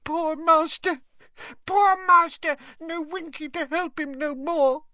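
A man voicing a tearful, devoted female house-elf who squeaks and wails in broken grammar, crying. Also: lively intonation, unhurried, sharp tone, no room sound, dull audio, exaggerated voice. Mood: distraught. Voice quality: squeaky, wailing. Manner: broken grammar, sobbing outbursts.

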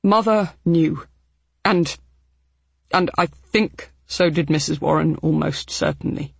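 A sorrowful, guilt-laden man, as voiced by a woman reading aloud, speaking slowly and heavily. The breathy reading sits in a dry, close audiobook recording. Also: low voice, no echo, exaggerated voice. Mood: heavy. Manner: heavy, apologetic. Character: Abel, sad, remorseful grown man.

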